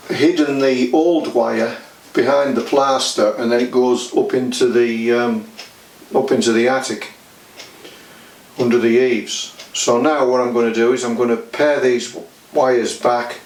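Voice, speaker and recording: A man's voice: mid-range voice, a little hiss or hum, low-quality recording. A man giving a casual how-to demonstration, explaining each step in a matter-of-fact way. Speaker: DIY demonstrator.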